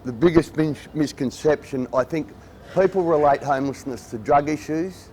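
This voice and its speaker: A man's voice: a little high, normal speed, darkish tone, a little hiss or hum. A man with an Australian accent, speaking plainly and hesitantly in a documentary interview.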